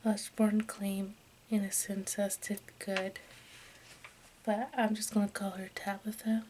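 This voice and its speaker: A woman reading aloud in ASMR style in a soft, breathy whisper and hushed speech, halting as she goes. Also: mid-range voice, level tone. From United States. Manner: halting, stumbles over names and words. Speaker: ASMR video creator. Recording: faint background noise.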